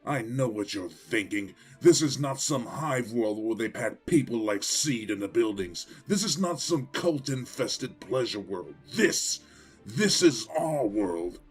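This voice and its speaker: A man acts out a hulking farmer-mayor of a sci-fi frontier town. He is proud, plain-spoken and unbending, with emphatic conviction at a middling male pitch. Faint music sits behind the voice. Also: animated, a little slow, breathy edge. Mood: proud, indignant. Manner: blunt, emphatic, impassioned. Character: huge, proud, defiant farmer-mayor rallying his people. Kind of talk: audio drama acting. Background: faint background music.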